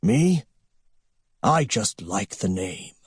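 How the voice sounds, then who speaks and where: A man's voice: lowish voice, big pitch swings, very slow, husky, dry recording, studio quality, full character voice. A man voicing a grandiose, defiant old tycoon in an audiobook, with declamatory, theatrical delivery. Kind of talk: audiobook character voice.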